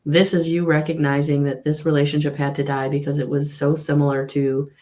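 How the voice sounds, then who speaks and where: A woman giving a live tarot reading to viewers, with a husky voice, slightly hoarse after a recent illness, speaking in a soothing, repetitive way with frequent ums. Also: sits low, flat delivery, brisk, a little mellow. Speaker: tarot reader.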